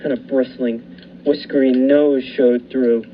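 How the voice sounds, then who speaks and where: A man's voice: medium pitch, unhurried. Young man narrating a radio thriller. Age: young adult. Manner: steady first-person storytelling with dramatic build. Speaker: narrator and lead actor. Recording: dull lo-fi recording, faint hiss.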